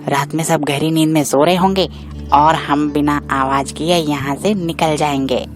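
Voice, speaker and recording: A woman's voice: low voice, fairly even intonation, normal speed, faint music behind, close to an everyday voice. A woman voicing a young male character in a children's cartoon moral tale. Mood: eager, hurried. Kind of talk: cartoon story acting.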